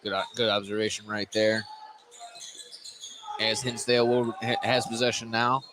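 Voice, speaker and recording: A man's voice: fairly low pitch, monotone, moderate pace, noisy background. A man doing live radio play-by-play of a basketball game as the announcer, courtside in a gym.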